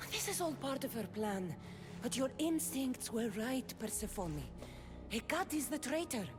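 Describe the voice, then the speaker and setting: A woman's voice: high voice, big pitch swings, measured pace, over music. An actress playing a bold, defiant mercenary heroine in a video game, forthright and determined in her delivery. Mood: urgent, defiant. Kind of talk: dramatic video game acting.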